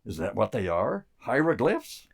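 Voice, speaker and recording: An actor playing a brusque, skeptical military colonel in a radio drama, probing in short clipped phrases. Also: male voice, fairly low pitch, big pitch swings, unhurried, gravelly, full character voice. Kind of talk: stage-style radio drama acting.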